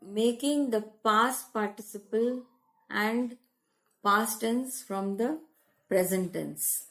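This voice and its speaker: Indian woman teaching English slowly. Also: a little high, rough recording. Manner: slow, deliberate teaching delivery, switching into Hindi. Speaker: language teacher. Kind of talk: spoken English lesson.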